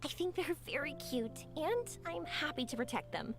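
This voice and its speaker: Upbeat young fireworks maker, lively swooping voice, affectionate, game dialogue, music behind. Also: female voice, high voice, moderate pace. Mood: warm. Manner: bright, upbeat, affectionate, giggly. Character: cheerful young woman fireworks maker, warm and spirited. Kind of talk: game voice acting.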